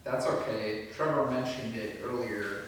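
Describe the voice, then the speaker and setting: Male actor at informal table read, medium pitch, distant mic, long reverb. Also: measured pace. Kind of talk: informal script read-through. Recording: echoey room, distant mic, clear background noise.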